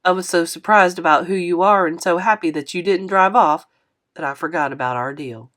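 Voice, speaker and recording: A kind older man who has raised kids and grandkids, teasing in a dry, fatherly way, as a woman reads him lower and softer. He sounds like an audiobook character. His lines land as wry jokes from a gentle storyteller. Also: a little fast, natural voice.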